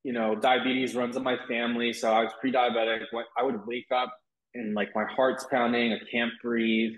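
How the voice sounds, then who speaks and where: A man's voice: medium pitch, little pitch movement, normal speed. Candid man with Mandarin as first language. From Taiwan. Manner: candid, reflective, many restarts and "like". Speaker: wellness guest. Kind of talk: interview conversation. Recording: roomy echo.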